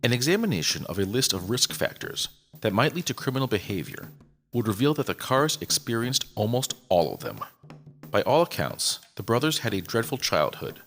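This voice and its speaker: A man's voice: medium pitch, big pitch swings, normal speed, a bit bright, soft background music. A man reading a scripted true-crime story as a podcast narrator.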